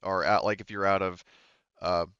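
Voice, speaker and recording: A man casually explaining a game setting as game master, haltingly searching for his words. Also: deep voice, level tone, a bit bright, clean tone, dry recording, muffled recording.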